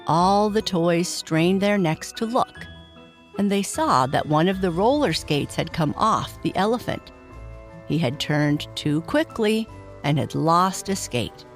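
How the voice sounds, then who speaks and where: A woman narrating a children's bedtime story in an expressive, scripted storytelling style. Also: on the low side, slow. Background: music.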